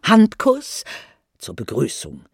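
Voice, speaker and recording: A husky voice narrates a dramatic literary monologue. The delivery is theatrical and slow, with big swings in pitch, recorded very dry and close. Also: no room sound. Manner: theatrical, expressive. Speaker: narrator and performer.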